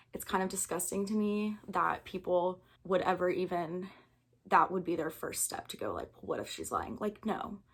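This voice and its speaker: A young American woman in her early twenties speaks in a slightly low voice. She talks informally and earnestly, as if addressing a camera in a candid personal video. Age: early 20s.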